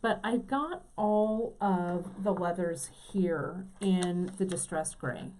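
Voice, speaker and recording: A woman's voice: on the low side, takes it slowly, a little mellow, low-quality recording. A woman co-hosting a casual beading demonstration, talking enthusiastically with lots of fillers. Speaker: co-host. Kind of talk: casual chat, craft demonstration.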